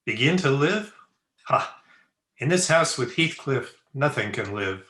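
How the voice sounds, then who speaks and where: A man's voice: medium pitch, animated, a little slow, pushed and bright. An actor playing a bitter, broken, drink-sodden man in an old-time gothic radio drama, accusing and theatrical. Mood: bitter, goading. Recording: roomy, echoing home video-call recording.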